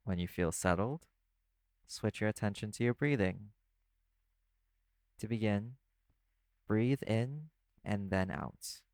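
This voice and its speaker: Man playing a low-voiced, laid-back vampire companion. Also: slow pace, pauses often, no room sound. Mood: calm, soothing. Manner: casual, slangy, gently instructive. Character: laid-back male vampire companion, teasing yet caring. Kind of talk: audio roleplay sleep aid, speaking to the listener.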